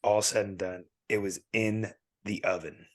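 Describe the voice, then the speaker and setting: A man in his thirties with a low, deep voice tells long, animated stories on a casual podcast. His voice is wearing thin and he keeps clearing his throat. The sound is close and dry. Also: unhurried, dry recording. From California. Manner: rambling, animated storyteller. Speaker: podcast co-host.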